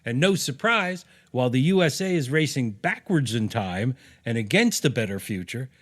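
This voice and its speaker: A man's voice: mid-range voice, sing-song, normal speed. An American man reading his own opinion piece aloud in a punchy, sarcastic, salty-tongued way, as a podcast host.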